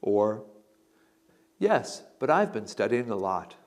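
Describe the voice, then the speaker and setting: A man narrating an English lesson, reading out model answers slowly and deliberately. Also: fairly low pitch, big pitch swings. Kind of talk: slow instructional model answers.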